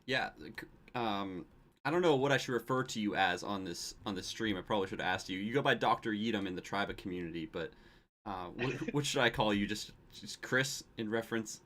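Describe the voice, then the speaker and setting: A man with a somewhat low voice, hosting a relaxed livestream chat. He is friendly and often stumbles and restarts his sentences. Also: fairly quick, slight background noise. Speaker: stream host. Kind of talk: casual livestream interview.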